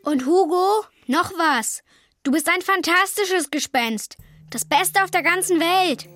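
High-voiced cheeky boy. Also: slow, pushed and bright, exaggerated voice. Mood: sincere. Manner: casual, sarcastic. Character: cheeky, cool, loyal young boy. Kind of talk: children's radio drama acting.

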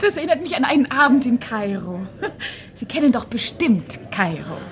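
High-voiced, coquettish worldly flirt. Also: female voice, big pitch swings, moderate pace. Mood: flirtatious. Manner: coquettish, affected, laughing through words. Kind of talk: German radio play acting.

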